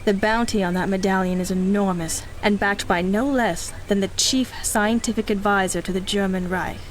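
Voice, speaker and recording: A woman's voice: mid-range voice, steady tone, moderate pace, a little hiss or hum, close to an everyday voice. An actress playing a cynical, ruthless female mercenary in a 1930s-style radio drama, speaking with arch, mocking condescension. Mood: coolly mocking.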